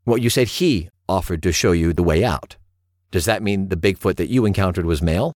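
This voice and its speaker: An actor playing a calm, attentive male late-night radio host, measured and courteous as he probes his callers. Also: sits low, no room sound, studio quality, lightly acted. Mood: curious, probing. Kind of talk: radio call-in acting in audio drama.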